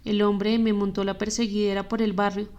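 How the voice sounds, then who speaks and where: Homeless man, slangy and easygoing, monotone read, as a female narrator puts him on for an audiobook. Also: high voice, a little fast, smooth, dull audio, natural voice. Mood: laid-back. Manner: relaxed street slang.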